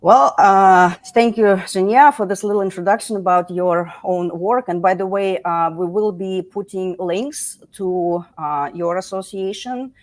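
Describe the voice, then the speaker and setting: A woman's voice: mid-range voice, measured pace, few pauses. A woman hosting a live online talk over a video call, chatty, with many fillers. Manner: chatty, filler-heavy, with many "you know"s. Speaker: livestream host.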